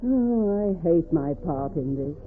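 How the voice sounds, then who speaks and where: Anxious, emotional frontier wife. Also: female voice, on the low side, expressive, slow. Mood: pleading. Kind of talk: 1940s radio acting. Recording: muffled, noisy 1940s radio recording.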